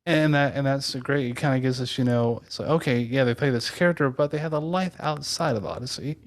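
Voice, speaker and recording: Very deep-voiced woman co-host. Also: brisk. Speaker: interview co-host. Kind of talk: casual chat.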